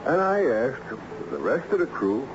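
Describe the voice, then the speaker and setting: A man's voice: medium pitch, very animated, a crowd in the background. An actor playing a plain-spoken, hesitant working man from a moving company in a radio drama. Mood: matter-of-fact. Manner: halting, colloquial. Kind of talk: American radio drama acting. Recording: muffled, noisy old radio drama recording.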